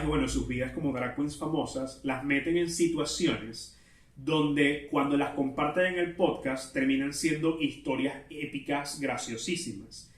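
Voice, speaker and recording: A higher-pitched man with a Venezuelan Spanish accent talks as a solo podcast host, casual and chatty, wandering off on tangents with some self-awareness. He sounds set back from the microphone in a noticeably echoey room. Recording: roomy echo, distant from mic.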